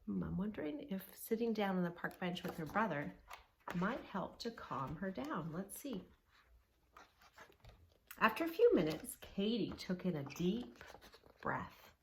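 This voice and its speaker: A woman's voice: mid-range voice, very animated, unhurried, gravelly, long pauses, a little hiss or hum, rough recording. A woman hosting a children's storytime, narrating expressively and speaking directly to young listeners. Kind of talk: children's read-along storytelling with asides.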